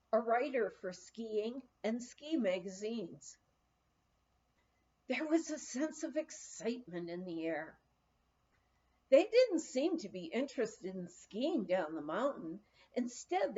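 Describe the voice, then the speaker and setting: High-pitched, lively woman reading a memoir. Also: highs cut off. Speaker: audiobook reader. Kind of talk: narrated reading of a humorous memoir.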